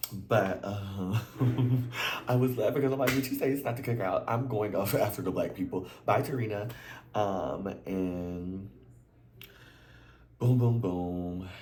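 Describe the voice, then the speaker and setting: Low-voiced chatty recap host. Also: male voice, roomy sound, slight background noise. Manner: animated and chatty, slangy, leaps into high exclamations. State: voice a little worn. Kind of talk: casual chat to camera.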